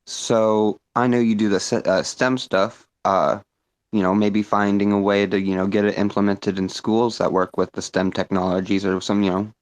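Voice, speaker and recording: A man's voice: on the low side, little pitch movement, moderate pace, no echo. A man giving advice in a casual, conversational, explanatory way in an online audio room. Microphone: live online audio room.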